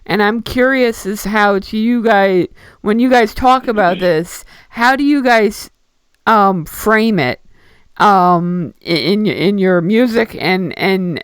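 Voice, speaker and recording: A man's voice: high voice, clean tone, no room sound. A man chiming in as an interviewer on a radio show, thoughtful and hesitant, often correcting himself. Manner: hesitant, apologetic, self-correcting.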